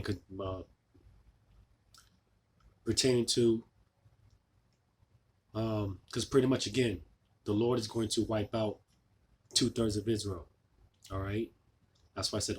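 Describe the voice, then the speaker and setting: A man losing his voice, giving an informal scripture sermon, halting with many fillers and restarts. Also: lowish voice, steady tone, breathy edge. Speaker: preacher.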